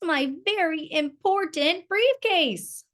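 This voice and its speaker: A woman's voice: very high voice, expressive, slow pace, a bit bright, pitched above the performer's own voice, exaggerated voice. A woman voicing a frantic, self-important male tiger office worker in a children's storybook, calling out urgently and emphatically. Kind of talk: children's storybook read-aloud.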